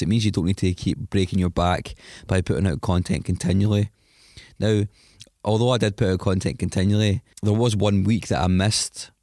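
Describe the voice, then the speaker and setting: A Scottish man speaks in a fairly low voice, a little stuffed up from a cold. He rambles in a chatty, self-mocking way through his podcast intro. The sound is dry and close. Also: darkish tone, no echo. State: has a cold, sniffly. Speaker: podcast host. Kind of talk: solo podcast intro monologue.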